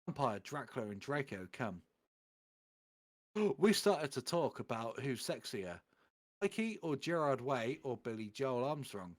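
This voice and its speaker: Guy reading fanfic dramatically for a comedy stream, mid pitched voice, swoops all over the place. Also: big pitch swings, a little slow. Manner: theatrical, putting on voices for quoted lines. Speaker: reader of fan fiction on a comedy stream. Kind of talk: comedic dramatic reading of amateur fan fiction.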